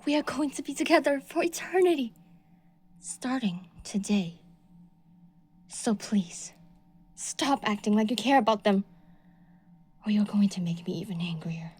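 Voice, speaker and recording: A woman voicing a delusional, possessive young woman stalker in an audio roleplay, moving between soft breathy whispers and shrill outbursts. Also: expressive, measured pace, pauses often. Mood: obsessive, menacing.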